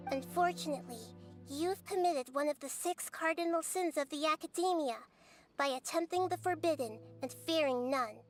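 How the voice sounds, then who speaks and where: A woman voicing a small, wise girl deity in a video game, calm and earnest as she lectures. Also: very high voice. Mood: stern. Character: small girl god, gentle, wise, calmly stern. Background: faint game music.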